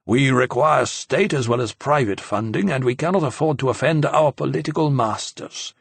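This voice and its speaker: A man's voice: medium pitch, normal speed, vocal fry, husky, no echo. A man voicing a fussy, pompous male university president in an audiobook, testy and scolding. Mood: reproachful. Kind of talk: audiobook character acting.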